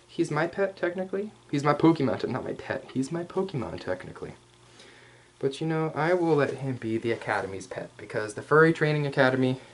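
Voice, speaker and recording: A man voicing a male hyena furry commander in a casual, rambling, playfully cocky ASMR roleplay, speaking straight to the listener with slangy asides and hesitant pauses. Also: medium pitch, close to an everyday voice, low-quality recording. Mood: relaxed, pleased. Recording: clear background noise.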